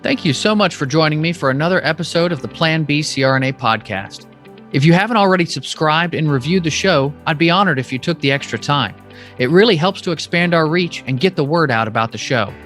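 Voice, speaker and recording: A man's voice: a little high, animated, a little fast, fairly bright tone, soft background music. A man hosting a podcast, talking frankly in casual conversation and reading a scripted outro. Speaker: podcast host.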